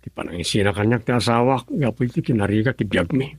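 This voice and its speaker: An actor playing a poor, bitter husband who wants to get rich, speaking earnestly, in a radio drama. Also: male voice, lowish voice, fast talker, husky, dry recording. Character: bitter grown husband, poor and wanting riches.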